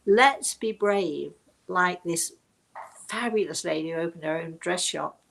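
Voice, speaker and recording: An older woman telling reflective personal stories to her viewers in an emphatic, deliberate manner, often turning to rhetorical questions. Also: fairly low pitch, gravelly, fairly bright tone. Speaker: speaker and storyteller hosting her own show.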